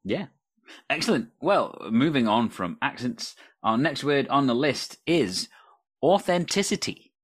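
A man from Wales co-hosting a casual talk about improv, telling relaxed anecdotes. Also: medium pitch, a little slow, slightly rough, dry recording, studio quality. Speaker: improv podcast co-host. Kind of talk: casual chat.

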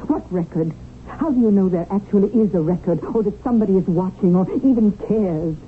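An actress playing a glamorous, greedy, seductive widow in a radio drama, coaxing and insistent. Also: female voice, mid-range voice, brisk, narrow, tinny audio, lightly acted. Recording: thin radio broadcast, faint hiss.

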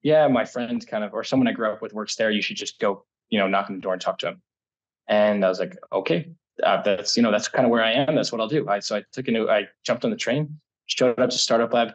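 American man in his thirties, lowish husky voice, casual and rambling interview storytelling. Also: poor audio. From United States. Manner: rambling, self-correcting, laughs often. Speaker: guest, startup incubator energy lead.

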